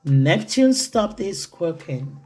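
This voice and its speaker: Slow, animated woman reading a storybook. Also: on the low side, faint music behind. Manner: theatrical, deliberate phrasing for young children. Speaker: children's storytime reader. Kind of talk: expressive read-aloud picture-book narration.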